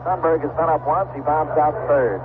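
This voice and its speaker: A man's voice: fairly high pitch, moderate pace. A man calling baseball play-by-play live on a noisy, tinny 1970s radio broadcast. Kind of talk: live radio sports commentary. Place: ballpark broadcast booth.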